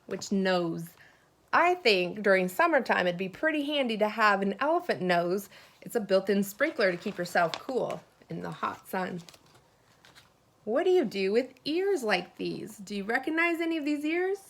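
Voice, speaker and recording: A woman teacher reading a children's picture book aloud expressively, pausing to put questions and asides to her young listeners. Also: medium pitch, big pitch swings.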